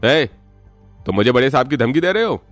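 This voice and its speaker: A man's voice: mid-range voice, big pitch swings, fairly quick, faint music behind, lightly acted. A man voicing a greedy, bullying fake policeman in a cartoon story, bossy and threatening. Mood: barking, threatening.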